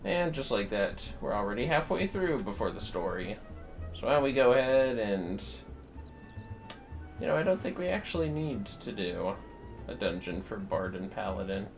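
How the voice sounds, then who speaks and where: A man hosting a livestream, chatting casually and thinking aloud in a rambling, halting way as he plays a game. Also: on the low side, big pitch swings. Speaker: livestream host. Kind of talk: casual chat while playing a game. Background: music.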